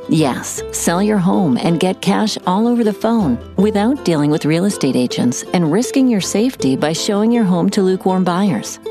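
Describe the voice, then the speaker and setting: A woman reading a scripted radio ad in a smooth, persuasive sales voice. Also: fairly low pitch. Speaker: ad announcer.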